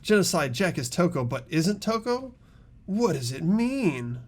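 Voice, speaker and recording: A man's voice: high voice, big pitch swings, unhurried, heavily acted. A man voicing an earnest, thoughtful teenage boy detective, tentatively reasoning in an amateur game dub. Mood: pondering.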